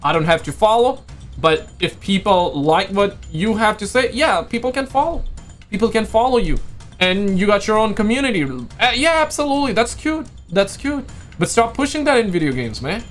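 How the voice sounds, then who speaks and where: A man's voice: high-pitched, very animated, normal speed. A man reacts on stream from a home room through a headset, with faint music playing under his voice. He speaks English as a second language, leans on slang and repeats his punchlines mockingly. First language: not English. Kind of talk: casual reaction commentary.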